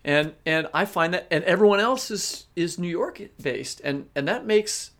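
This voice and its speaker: American guy cohosting a podcast, voice a bit high, rambly halting questions, keeps interrupting himself. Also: animated, fairly bright tone. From American Midwest. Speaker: podcast co-host.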